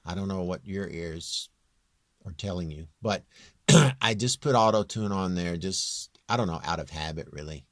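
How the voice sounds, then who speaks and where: A man with a very low, near-bass voice giving a casual, off-the-cuff software walkthrough in a rambling, plain-spoken, self-deprecating way. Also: normal speed, dry recording, clean studio sound. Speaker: home-recording singer giving a tutorial.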